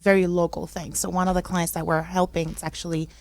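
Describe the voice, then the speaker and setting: A woman's voice: lowish voice, little pitch movement, quick pace. A young woman from Puerto Rico, a business consultant who is a guest on a talk show, talking in a friendly way and laughing often. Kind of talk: casual interview talk.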